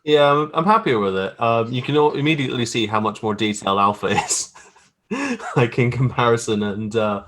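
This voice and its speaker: A man's voice: medium pitch, normal speed, a bit bright. A man chatting casually as an interview guest, informal, wry and self-deprecating about his own work as a graphic artist.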